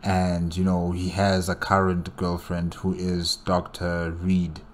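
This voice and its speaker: Man, low pitch, leisurely pace, casual reaction commentary, hesitant, thinking aloud. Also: completely flat delivery, slow, a little hiss or hum. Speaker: reaction video host.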